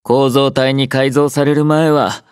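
A man voicing an aloof, laid-back young soldier in an anime-style game, quietly caring, with dry, understated teasing. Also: medium pitch, level tone, takes it slowly, clear, resonant tone, dry recording, natural voice. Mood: calm, wry. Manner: languid, dryly teasing, understated. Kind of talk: Japanese anime-style game character voice lines.

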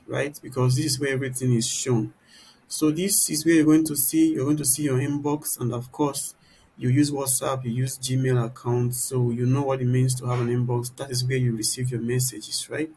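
A man giving an informal, explanatory software tutorial, often turning statements into little rhetorical questions. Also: mid-range voice, low-quality recording. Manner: explanatory, poses rhetorical "what?" questions mid-sentence. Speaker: tutorial presenter. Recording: clear background noise.

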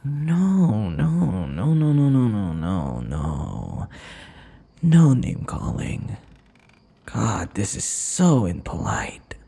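Man acting a breathy, low-voiced seductive vampire. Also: huge pitch swings, warm tone, full character voice. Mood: mocking, menacing. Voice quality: breathy, often dropping into whispers. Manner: teasing, theatrical, drawn-out taunting with chuckles. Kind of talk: ASMR audio roleplay, intimate acted monologue.

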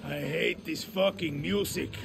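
An actor playing a wry older guerrilla with an offhand, sardonic way of talking. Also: male voice, on the high side, a little slow, creaky, over music. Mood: grumbling. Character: wry, grizzled older male guerrilla spymaster.

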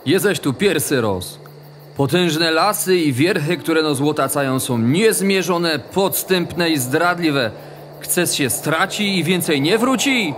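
A man playing a stern old sheepdog father in a children's audio drama, speaking firmly in a Polish highlander dialect. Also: expressive, fairly bright tone. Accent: Polish highlander (góral) dialect. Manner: slow, firm, admonishing.

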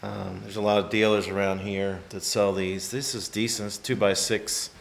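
A man's voice: lowish voice, flat delivery, gravelly, a little hiss or hum. A middle-aged man with a Maine accent, casually musing as he films a tour of an unfinished cabin on his phone. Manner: musing, unhurried, trailing off. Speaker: vlogger touring a cabin. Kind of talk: casual walk-through commentary. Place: outdoors in autumn woods and inside an unfinished wooden cabin. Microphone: phone camera at arm's length.

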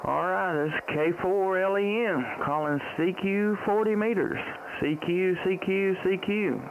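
A middle-aged man hosting a casual amateur radio livestream, speaking close to a boom microphone. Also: a little slow. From Tennessee, United States. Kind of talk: casual ham radio chat and calling. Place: small indoor home radio room. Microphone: close boom microphone with headset. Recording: dull lo-fi sound, faint radio noise.

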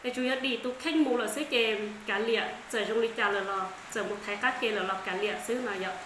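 A woman in her thirties, talking to the camera as a channel host, telling a story in a calm, earnest way. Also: a little high, quick pace. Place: dim wood-walled room indoors. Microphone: camera in front at desk distance. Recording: echoey room with faint background noise.

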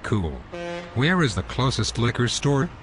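A man voicing a rude, scruffy homeless man in an amateur animated comedy, with slow, sulky, insulting speech. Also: medium pitch, over music. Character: rude scruffy homeless man who lies about everything.

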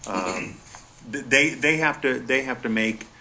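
A man on a board, formally explaining an item in a halting way with frequent hesitations. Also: mid-range voice, expressive, sharp tone, slight background noise. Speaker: board member presenting an item.

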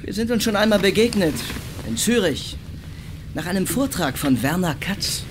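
A man's voice: high voice, animated, normal speed, background noise. An actor playing a taunting, self-assured, menacing male lawyer in a German radio crime drama. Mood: smug, menacing. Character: menacing, smug male lawyer blackmailing a passenger.

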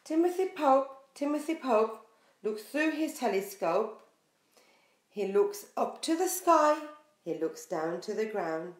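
Slow, echoey woman reading to small children. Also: fairly high pitch. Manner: expressive, sing-song, questioning the young listeners. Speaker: preschool storyteller. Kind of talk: picture book read-aloud for young children. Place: small bare room indoors.

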